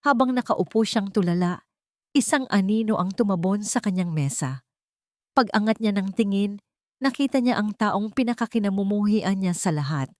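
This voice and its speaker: A woman's voice: mid-range voice, animated, normal speed, darkish tone, dry recording, clean studio sound. A woman reading a scripted dramatic love story as narrator.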